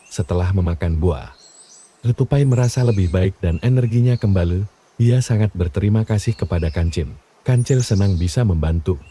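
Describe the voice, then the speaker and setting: A man narrating scripted children's bedtime stories with a dark, husky voice. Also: low voice, slight background noise. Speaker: storyteller narrator.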